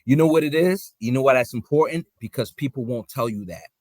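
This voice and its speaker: A man hosting a podcast, telling a story in relaxed casual slang among friends. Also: medium pitch, fairly quick, no echo, studio quality. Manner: laid-back slangy storytelling. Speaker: podcast host. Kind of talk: casual chat.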